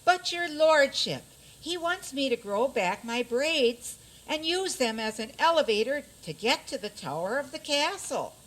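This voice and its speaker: A woman plays an aggrieved storybook maiden in a radio comedy. Her voice is high and swooping, delivered slowly, with a dramatic, plaintive plea in it. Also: big pitch swings, low-quality recording. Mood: aggrieved pleading. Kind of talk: comic community radio theater.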